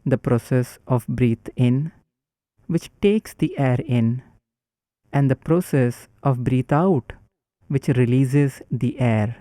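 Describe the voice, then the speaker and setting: Guy leading a breathing meditation, real slow and mellow, dry close sound. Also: medium pitch, dry recording. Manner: slow, calm, instructive. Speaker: meditation guide.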